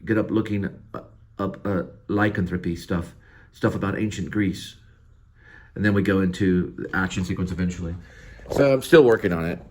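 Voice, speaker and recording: Casting: male amateur writer-musician, lowish voice, informally talking through his draft, halting and rambling. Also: a little hiss or hum. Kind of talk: casual explaining of own work in progress.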